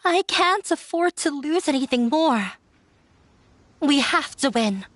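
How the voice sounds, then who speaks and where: A woman's voice: high voice, lively intonation, slow, a bit bright, no echo, exaggerated voice. A woman voicing an earnest, determined young woman performer in a video game. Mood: resolute. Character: earnest young woman performer defying her strict father.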